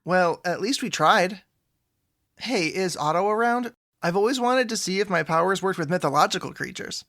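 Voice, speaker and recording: An actor playing a troubled, awkward, wry man with supernatural troubles in an audio drama, his voice creaky. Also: high voice, animated, moderate pace, a bit bright. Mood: resigned.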